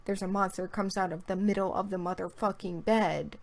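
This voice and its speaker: A woman's voice: medium pitch, steady tone, fairly quick, vocal fry. A woman guest in a casual chat about horror stories, playful and chatty, joking and swearing now and then. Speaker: guest co-host.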